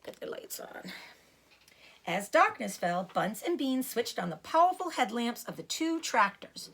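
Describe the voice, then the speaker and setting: A woman teacher reading a children's storybook aloud in an expressive way. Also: fairly high pitch, unhurried, slightly rough.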